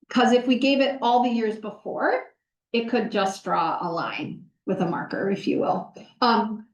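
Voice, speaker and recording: A woman professor answering audience questions in a lecture hall, explaining with energy, often pausing on "um" and correcting herself mid-sentence. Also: medium pitch. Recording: roomy lecture hall sound.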